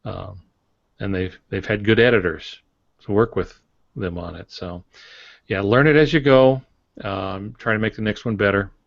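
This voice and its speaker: A man with a low voice co-hosts a writing podcast, talking calmly with many small pauses. The sound is dry and close. The audio is slightly dull and lo-fi. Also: creaky, dry recording. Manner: relaxed, thoughtful, many fillers. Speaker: podcast co-host, author. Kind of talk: casual podcast chat.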